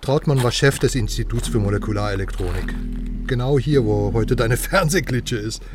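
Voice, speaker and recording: A man's voice: mid-range voice, normal speed, recorded from a distance, music in the background, lightly acted. A man playing a pushy, self-assured journalist in a radio crime drama, talking at length in a persuasive, self-important way. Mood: eager, explaining. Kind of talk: naturalistic radio drama dialogue.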